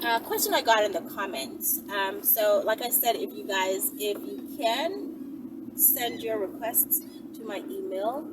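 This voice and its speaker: A woman host chatting casually to viewers in an emphatic, conversational way, often asking them rhetorical questions. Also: mid-range voice. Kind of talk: casual chat to camera. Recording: distant mic, clearly noisy background.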